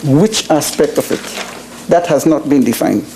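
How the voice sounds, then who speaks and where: Halting high-voiced male senator, noisy recording. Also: mellow. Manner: halting, questioning rhetoric. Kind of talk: parliamentary debate speech.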